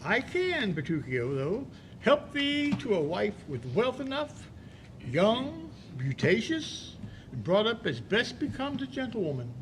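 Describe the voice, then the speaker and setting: Guy playing lovesick polite gentleman friend, shakespeare audio drama, high voice, slow n very singsong, bit of noise. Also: heavily acted, poor audio. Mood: teasing. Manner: measured, expressive declamation. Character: courteous, lovesick gentleman friend giving counsel. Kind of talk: theatrical Shakespearean audio play acting.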